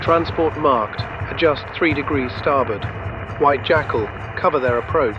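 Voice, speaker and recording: A man's voice: medium pitch, a little slow. A man playing a composed military fleet controller giving clipped procedural commands over muffled radio. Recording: muffled radio under loud music.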